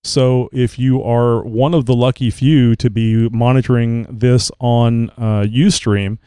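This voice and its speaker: Man, podcast host, slightly crackly from a cold, mellow smooth voice, rambling with many fillers, dry close sound. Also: mid-range voice, level tone, slow pace, dry recording. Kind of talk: casual chat.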